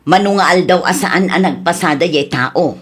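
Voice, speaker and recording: A woman's voice: lowish voice, normal speed, breathy edge. A woman with an Ilocano accent playing a principled, scolding wife who frets about fairness in a comedy radio drama. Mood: reproachful.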